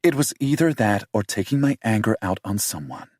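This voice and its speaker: A sharp-tongued young woman snaps back in a lifted, strained voice, as a man puts her on for an audiobook. Her tone swings widely with a husky grain. The recording is close and dry. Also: fairly low pitch, animated, brisk, no echo, voice pushed up. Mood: snappy. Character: young woman, prickly and defensive, quick to snap. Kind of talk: audiobook character voice.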